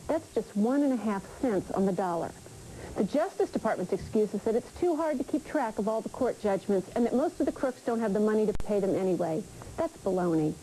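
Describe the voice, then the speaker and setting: American female pundit, indignant, fairly high and soft-edged tone, faint old broadcast noise. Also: low-quality recording. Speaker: political panelist. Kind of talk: prepared political commentary.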